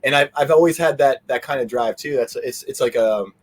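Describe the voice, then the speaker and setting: A man giving a casual interview as a guest, animated and meandering, often trailing off mid-sentence. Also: mid-range voice, a little fast. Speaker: interview guest, fan convention organizer. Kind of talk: casual rambling interview chat.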